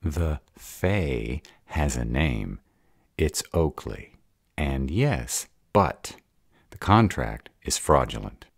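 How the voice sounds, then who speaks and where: A man playing a gruff, hot-tempered were-dragon protective of his mate in an audio roleplay, gravelly, blunt and growling. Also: a bass voice, sing-song, extremely slow, dry recording, clean studio sound, heavily acted. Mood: impatient. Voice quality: gravelly, raspy. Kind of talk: audio roleplay drama acting.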